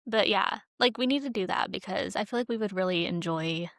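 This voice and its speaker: A woman's voice: mid-range voice, fairly quick, a bit bright, no echo, studio quality. A young adult woman co-hosting a podcast, chatting informally and emphatically about films. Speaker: podcast co-host. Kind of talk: casual chat about films.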